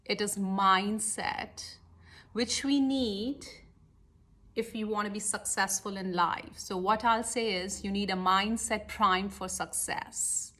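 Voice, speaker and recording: A woman's voice: mid-range voice, bright, forceful tone. A middle-aged woman with an Indian accent, speaking emphatically and directly to listeners as a life coach telling motivational stories. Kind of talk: motivational talk with storytelling.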